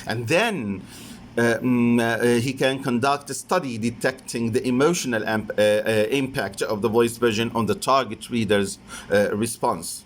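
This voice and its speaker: A man with an Egyptian accent, a native Arabic speaker, presents an academic paper as a professor, in a halting, hesitant delivery that often repeats phrases. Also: medium pitch, a little hiss or hum. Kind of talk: academic conference lecture with slides.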